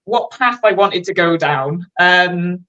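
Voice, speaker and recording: A woman's voice: lowish voice, takes it slowly, bright, forceful tone, lo-fi sound. Chatty Welsh woman in her thirties. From Wales. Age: 30s. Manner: chatty, candid, self-mocking, swears casually. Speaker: guest, fashion designer. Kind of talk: casual livestream interview chat.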